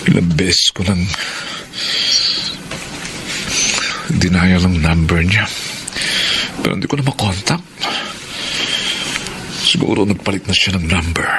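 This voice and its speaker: An actor plays a persistent, courteous Filipino suitor in a radio drama. His voice is very low and smooth, over clearly noisy background ambience. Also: natural voice. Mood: musing. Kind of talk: radio drama acting and monologue.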